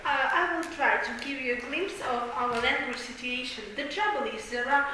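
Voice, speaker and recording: Young woman, Ukrainian accent, student, halting speech, bright high voice, echoey room. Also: a little hiss or hum. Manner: halting, searching for words. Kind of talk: classroom role-play. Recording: echoey classroom.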